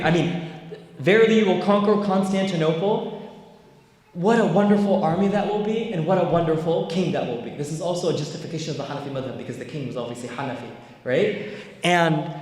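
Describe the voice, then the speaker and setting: A young man gives a relaxed, rambling history lecture, leaning on fillers and tag questions to keep his audience with him. His voice sits a little high for a man. Long reverb from the large hall hangs behind every phrase. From Bay Area, United States. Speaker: Islamic scholar lecturing.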